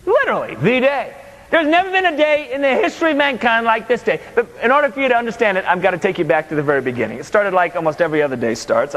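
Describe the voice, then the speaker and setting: Man as harried businessman, high-pitched, echoing hall. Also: a bit bright, dull audio. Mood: amazed. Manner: conversational, wry. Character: overworked, driven, exasperated businessman narrating his day. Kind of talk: first-person storytelling from a stage. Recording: echoey hall with long reverb.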